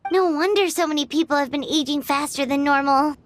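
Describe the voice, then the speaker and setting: A woman voicing a small, cheerful, chatty fairy companion in a video game, with a squeaky childlike voice and exclamatory delivery. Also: brisk, slight background noise, natural voice. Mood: curious. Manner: bubbly, exclamatory, third-person self-reference. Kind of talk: video game cutscene acting.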